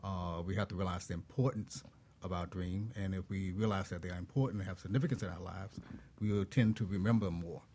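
Male guest expert, low register, reflective and measured. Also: a little mellow, a little hiss or hum. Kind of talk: reflective explanatory talk.

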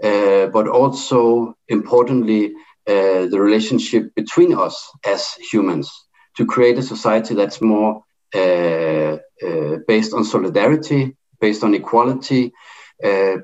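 A middle-aged man from Denmark with a Danish accent, speaking in an online debate, halting and full of fillers and restarts. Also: lowish voice, darkish tone, dull audio.

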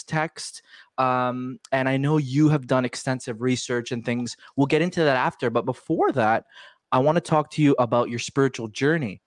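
A man hosting a podcast, chatty and upbeat, quick with interjections. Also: medium pitch, dry recording, studio quality. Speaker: podcast host.

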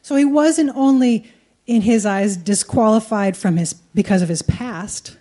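Mellow woman preaching. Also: a little high, measured pace. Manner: conversational, colloquial. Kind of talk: sermon delivered in short phrases for live interpretation.

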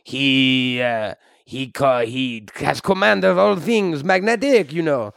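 Man acting as French Canadian superhero, high voice, creaky, dry close sound, broken English, easygoing, rambling, improvised comedy. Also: takes it slowly, fairly bright tone, dry recording. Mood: chatty, earnest. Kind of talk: improvised comedy podcast in character.

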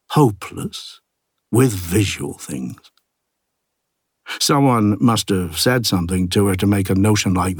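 A husky, unhurried older artist. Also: male voice, lowish voice, no echo, studio quality. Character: ageing village artist Pete, plain-spoken, gruff, reflective loner. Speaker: audiobook narrator. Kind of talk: first-person literary audiobook narration.

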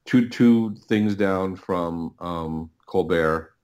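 A middle-aged man speaking as a podcast guest, telling stories casually and haltingly, often repeating words as he thinks. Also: sits low, a little mellow. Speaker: podcast guest, audio producer. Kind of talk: casual interview storytelling.

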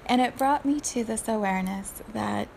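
A woman in her forties who grew up in South Africa, speaking in an unhurried, thoughtful solo talk and laughing softly at herself now and then. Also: on the high side, vocal fry, a little hiss or hum, low-quality recording. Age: 40s.

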